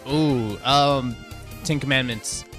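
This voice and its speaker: A man's voice: medium pitch, slow, fairly bright tone, soft background music. A man co-hosting a radio show, chatting casually with informal, tentative phrasing. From outside the United States. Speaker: radio co-host.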